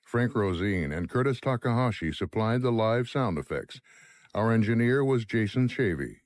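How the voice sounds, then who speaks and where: A man's voice: lowish voice, lively intonation, moderate pace, no echo, studio quality. A man announcing scripted credits for a radio drama.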